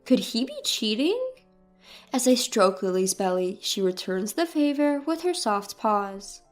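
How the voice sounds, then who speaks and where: A woman's voice: a little high, big pitch swings, measured pace. A woman reading a scripted first-person dramatic story as its narrator. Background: music.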